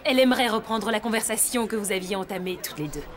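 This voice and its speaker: A woman's voice: medium pitch, animated, fast, a crowd in the background, background noise, natural voice. A woman playing a deferential lady's companion in a cartoon, speaking formally and courteously. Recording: music behind dialogue.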